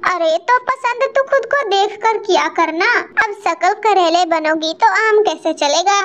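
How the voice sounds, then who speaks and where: A woman's voice: very high-pitched, a little slow, fairly bright tone, muffled recording. A woman voicing a petty, two-faced older mother-in-law bird in a Hindi cartoon story, nagging and bossy. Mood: scornful.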